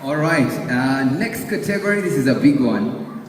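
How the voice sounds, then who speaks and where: A man announcing award categories live on stage, recorded from a distance in an echoey hall with a loud crowd. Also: medium pitch, lively intonation, normal speed, noisy background. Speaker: awards show presenter.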